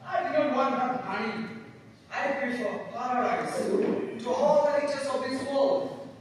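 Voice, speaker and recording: A man's voice: extremely high pitch, measured pace. A man in an amateur stage play acting an earnest, humble peasant boy who dreams of priesthood, speaking in a declamatory way. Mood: devout, resolute. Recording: echoey hall, distant mic, faint noise.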